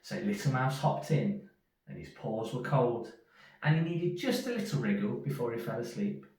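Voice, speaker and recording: A man reading a children's story aloud in an expressive storyteller's manner. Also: mid-range voice, normal speed. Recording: echoey room, distant from mic.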